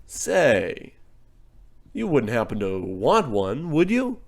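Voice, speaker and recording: Slick, pushy salesman for a radio comedy, played by a man, mid-pitched with lively swoops, talking down to the customer. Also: slow pace, creaky, full character voice. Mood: sly, condescending. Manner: slick, patter-like, condescending. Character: smooth-talking, pushy, condescending conman door-to-door salesman. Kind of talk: old-time radio comedy acting.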